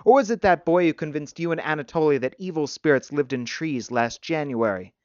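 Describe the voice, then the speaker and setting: A man's voice: a little high, lively intonation, fairly quick, a little mellow, no echo, lo-fi sound, lightly acted. Man voicing a tender, no-nonsense mother. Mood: firm, reassuring. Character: proud, tender, no-nonsense mother of a young son.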